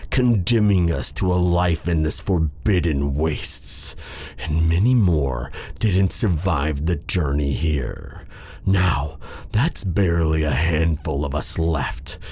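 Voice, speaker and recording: Casting: male actor as suave evil mage for fantasy roleplay audio, extremely low gravelly voice, silky and menacing. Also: a little mellow, full character voice. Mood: bitter, brooding. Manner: theatrical, silky, taunting endearments. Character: suave, menacing dark male sorcerer, tender captor. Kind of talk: ASMR fantasy roleplay acting.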